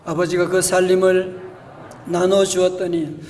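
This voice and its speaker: A male pastor preaching a storytelling sermon in a church, moving between hushed and emphatic delivery. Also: high voice, completely flat delivery, unhurried, distant mic, a little hiss or hum. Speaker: senior pastor. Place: church sanctuary.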